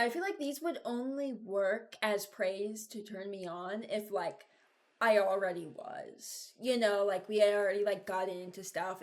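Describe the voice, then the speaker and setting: Young female video creator, mid-range voice, casual reaction chat, hesitant and meandering. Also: mid-range voice. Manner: rambling, hesitant, thinking aloud.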